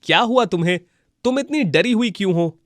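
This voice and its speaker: A man's voice: high-pitched, expressive, brisk, no room sound, studio quality. A man playing a humble, good-hearted village husband in a children's cartoon story, speaking earnestly. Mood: puzzled.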